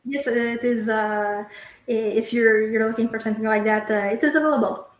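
A woman's voice: a little high, steady tone, normal speed. Hesitant woman in a roomy space. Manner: hesitant, many uhs and restarts. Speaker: guest, company sales contact. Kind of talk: interview answers. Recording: roomy echo.

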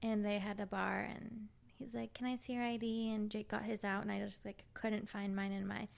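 A woman in her thirties co-hosting a podcast, with a high-pitched voice, upbeat and quick to agree in casual chat. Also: little pitch movement, creaky. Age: 30s. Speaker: podcast co-host.

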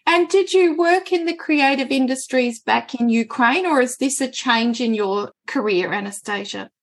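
A woman hosting an interview podcast, upbeat and affirming as she leads the talk. Her voice sits fairly high for a woman. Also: moderate pace. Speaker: podcast host.

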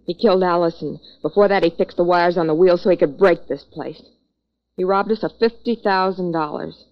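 A woman's voice: lowish voice, fairly quick, lightly acted. Tough radio noir dame acted by a woman, muffled. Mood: defensive, hard. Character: tough, cool female gambling-joint owner, scheming dame. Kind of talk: 1940s radio noir acting. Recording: dull vintage 1940s radio recording.

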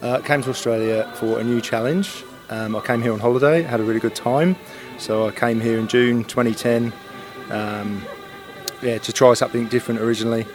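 Relaxed English man, chatty. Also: mid-range voice, brisk, over music. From England. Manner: relaxed, chatty, with ums and yeahs. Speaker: new citizen. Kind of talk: casual interview.

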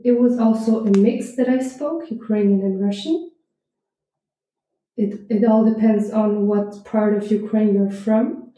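Soft-toned woman, roomy sound. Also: mid-range voice, fairly even intonation, a little slow. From Germany. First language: German. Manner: earnest, with hesitant restarts. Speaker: interviewee. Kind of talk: personal history interview. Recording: roomy echo.

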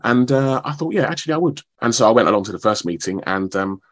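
A man, talking as a guest in a radio interview in a modest, measured, slightly halting way. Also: medium pitch, lively intonation. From London, England. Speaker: actor, radio show guest.

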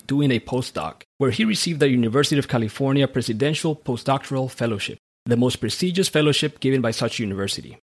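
A man's voice: mid-range voice, breathy edge. A man hosting a podcast, reading a motivational script in an earnest tone, then interviewing a guest. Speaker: podcast host.

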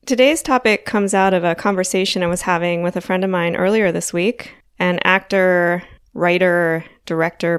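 A woman in her forties hosting her own podcast in a chatty, casual way, a little hoarse from a frog in her throat and laughing lightly between thoughts. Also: lowish voice, dry recording, clean studio sound. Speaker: podcast host. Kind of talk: casual solo podcast talk.

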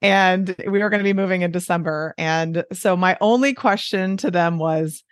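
A woman's voice: fairly low pitch, moderate pace, no room sound, studio quality. A woman in her forties with a Midwestern American accent, speaking as a guest in a casual podcast conversation. She talks in a chatty way, with many fillers and light laughs. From United States. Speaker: guest, weight loss coach.

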